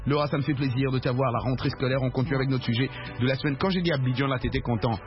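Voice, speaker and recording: A man hosting a live call-in radio show from a studio, with lively, playful banter. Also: mid-range voice, very fast. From New York. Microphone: studio microphone. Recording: dull broadcast audio with music.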